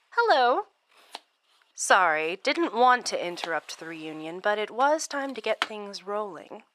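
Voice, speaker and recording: A smug, cruel supernatural woman, played by an actress, taunts theatrically in a horror audio drama. She takes her time, letting the pitch rise and dip freely in mocking, playful phrases. There is clear noise behind her voice. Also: very animated, unhurried, a bit bright. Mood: playful menace. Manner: mocking, theatrical, breaking into chuckles and sighs.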